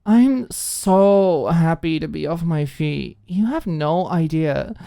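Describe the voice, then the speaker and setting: A woman voicing a drunk, bratty young college woman in an audiobook, slurring her words with giggly, whiny, drawn-out teasing. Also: sits low, lively intonation, gravelly, warm tone, heavily acted. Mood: whiny, self-pitying. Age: young adult. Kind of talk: audiobook character acting.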